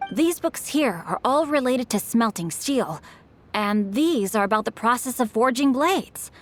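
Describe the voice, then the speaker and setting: Polite, slightly high-voiced helpful woman. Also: lively intonation, measured pace, slight background noise. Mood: helpful, businesslike. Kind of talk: video game voice acting.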